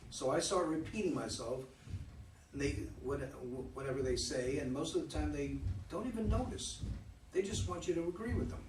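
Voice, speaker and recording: An earnest, disillusioned former fiancé played by a man in a dramatic play reading. His voice sits a little high. The sound is roomy and distant, with faint noise behind it. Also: lively intonation, normal speed, darkish tone, lightly acted. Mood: reflective, frustrated.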